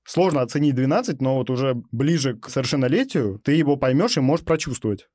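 A young man talks as a podcast host in a casual, conversational way. He speaks quickly at a middle pitch, and the sound is dry with no room around it. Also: animated, warm tone, no echo. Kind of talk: casual panel discussion.

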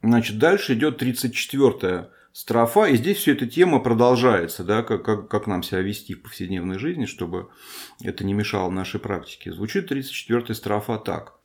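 A man's voice: lowish voice, expressive, a little fast. A man hosting a podcast who gives an informal spoken lecture on a Buddhist text, thinking aloud with frequent restarts and a self-deprecating touch.

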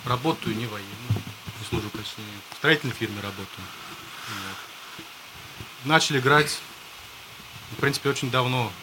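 A man's voice: mid-range voice, normal speed, long pauses. A man in his thirties from Astrakhan introducing himself plainly. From Astrakhan, Russia. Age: 30s. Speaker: band member. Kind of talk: self-introduction. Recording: loud background noise.

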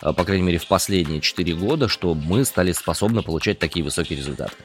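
A man hosting a news podcast, chatting through the day's stories in a relaxed conversational way. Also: fast talker, loud music behind the voice. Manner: lively, chatty, rises in pitch for emphasis.

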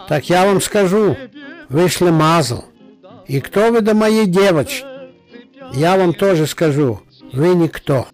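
A scornful older merchant from Odessa acted by a man, with a very high voice for a male. He is indignant and sarcastic, needling with rhetorical questions in an Odessa accent over background music in a radio play. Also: a little mellow. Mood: cold scorn.

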